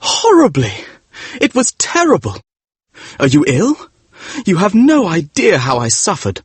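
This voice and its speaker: A man voicing a proud young gentleman who coldly rejects his lover with cutting contempt. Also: sits high, huge pitch swings, a little slow, slightly rough, no echo, voice pushed up, full character voice. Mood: contemptuous. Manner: disdainful, cutting.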